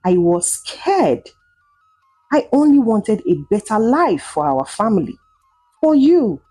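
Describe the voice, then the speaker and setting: A woman's voice: fairly high pitch, very animated, slow pace, mellow, slightly rough, soft background music, full character voice. A woman voicing a remorseful, self-sacrificing mother in a folktale, pleading and sorrowful.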